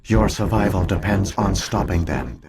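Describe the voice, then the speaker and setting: Video game role: ancient alien AI, low-pitched, solemn, measured, coldly logical. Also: male voice, lively intonation, vocal fry. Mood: grave, detached. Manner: solemn, measured, formal exposition. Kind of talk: scripted video game dialogue.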